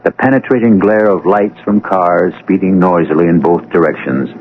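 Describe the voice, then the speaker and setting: A man hosting and narrating a radio mystery drama, with a measured, dramatic scripted delivery. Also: sits low, a little hiss or hum. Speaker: host and narrator. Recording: muffled, band-limited old radio broadcast.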